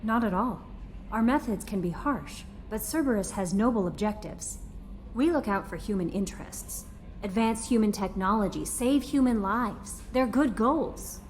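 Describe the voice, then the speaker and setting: A woman's voice: mid-range voice, expressive, normal speed, slight background noise, lightly acted. A woman playing an earnest, personable young ship's aide in a video game. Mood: upbeat. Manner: eager, personable.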